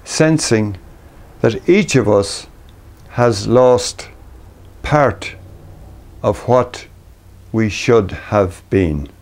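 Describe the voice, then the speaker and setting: An Irish man reading his own poetry in a measured, deliberate way, pausing between short phrases. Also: lowish voice, animated, a little mellow. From Ireland. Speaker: poet reading own work.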